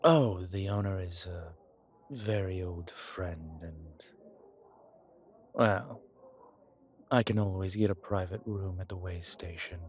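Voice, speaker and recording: A man playing a suave, grateful old vampire in a roleplay audio, speaking formally with pauses and quiet amusement. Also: low voice, a little hiss or hum. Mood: gracious, inviting. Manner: genteel, formal, hesitant pauses, soft chuckles. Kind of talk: intimate one-sided roleplay audio drama.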